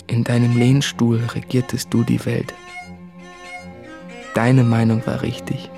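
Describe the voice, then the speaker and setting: Tormented writer, radio play monologue, gravelly fry, brooding, intimate, hushed confessions. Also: male voice, medium pitch, completely flat delivery, a little slow, soft background music. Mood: bitter, reflective. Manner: brooding, intimate, often sinking into whispers. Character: anguished, self-tormenting male writer confessing in letters. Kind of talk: literary radio drama monologue.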